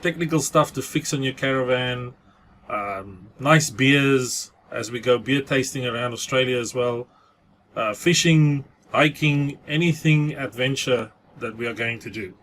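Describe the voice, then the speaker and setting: A man's voice: mid-range voice, unhurried, fairly bright tone, slight background noise. A man from South Africa with a South African accent, talking casually to camera for his own channel and dropping in Afrikaans words. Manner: chatty, mixes in Afrikaans phrases. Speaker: vlogger. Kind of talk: casual vlog monologue.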